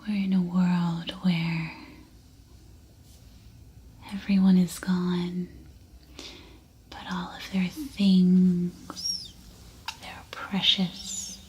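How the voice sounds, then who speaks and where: A woman playing an unhinged, coaxing cannibal survivor in an ASMR roleplay, in a soft breathy whisper that soothes and menaces. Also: lowish voice, steady tone, extremely slow, pauses often, a little hiss or hum. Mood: eerily calm.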